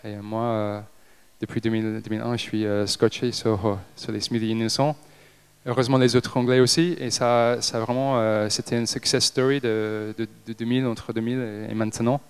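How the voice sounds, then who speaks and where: A man in his thirties from Liverpool with an English accent, a native English speaker giving a talk on stage, speaking haltingly with many hesitations. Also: lowish voice, level tone, clear, resonant tone. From Liverpool, England. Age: 30s. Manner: halting, frequent hesitations and restarts. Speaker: company director giving a talk. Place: stage in an event hall with a seated audience. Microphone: handheld microphone.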